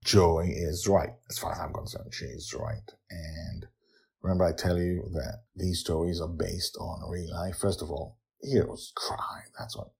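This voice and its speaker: A man's voice: sits low, flat delivery, a little slow, gravelly, a little mellow. A man hosting a podcast and narrating stories in a dramatic, emphatic way. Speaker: podcast host and storyteller. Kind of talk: expressive storytelling and podcast narration.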